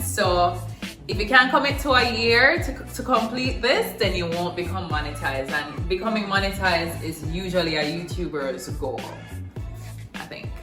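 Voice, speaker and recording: A young woman from Jamaica with a Jamaican accent, chatting casually to camera as a vlogger and repeating words for emphasis. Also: mid-range voice. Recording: roomy echo.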